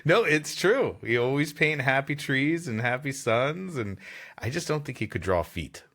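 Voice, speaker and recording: A male comedy podcast host who banters and reads stories aloud. His pitch rises and falls in a lively way, and his delivery is playful and expressive. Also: moderate pace.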